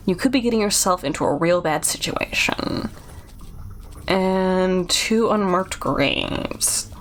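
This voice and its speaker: A woman streaming a game, reading its narration aloud with casual asides into a close microphone. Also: mid-range voice.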